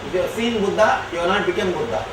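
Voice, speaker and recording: A man whose first language is Tamil, answering audience questions as a spiritual teacher, speaking slowly and emphatically. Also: sits high. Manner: slow, emphatic and declarative. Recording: echoey hall from far off, noisy.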